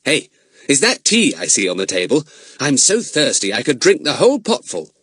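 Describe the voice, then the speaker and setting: A man's voice: mid-range voice, wildly swooping pitch, normal speed, fairly bright tone, husky, no echo, clean studio sound, voice pushed up, exaggerated voice. A man doing a touchy, grumbling boy. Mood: aggrieved.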